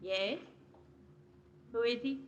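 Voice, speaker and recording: Cool, reserved French mademoiselle. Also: female voice, on the high side, slow, highs cut off. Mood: guarded, reserved. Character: cool, reserved young French chateau owner, guarded widow-like sweetheart. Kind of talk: 1940s radio drama acting.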